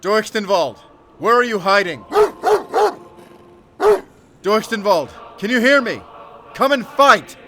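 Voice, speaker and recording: A man's voice: high-pitched, lively intonation, slow, long pauses, pushed and bright, heavily acted. A man playing a grim, commanding werewolf hunter, speaking tersely in an audio drama. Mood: shouted challenge. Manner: terse, tense, giving orders. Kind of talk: cinematic audio drama acting. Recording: clear background noise.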